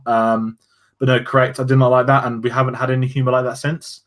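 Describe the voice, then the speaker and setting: A man's voice: medium pitch, level tone, fast. A man co-hosting a casual review chat, musing loosely with restarts and fillers. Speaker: podcast co-host.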